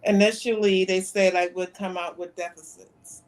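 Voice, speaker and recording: A woman in her fifties, a guest on a talk show, recounting events in a plain, soft-spoken way. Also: mid-range voice, steady tone, normal speed, poor audio. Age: 50s. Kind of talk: interview conversation.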